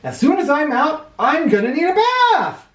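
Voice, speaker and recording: A woman's voice: high-pitched, very animated, moderate pace, pitched above the performer's own voice. A woman voicing a blustery, indignant, stout man who is a president, for a children's storybook. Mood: exasperated, blustering. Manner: bellowing, indignant, grandiose. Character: blustery, stout, exasperated male president stuck in a bath. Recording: roomy, somewhat far from mic.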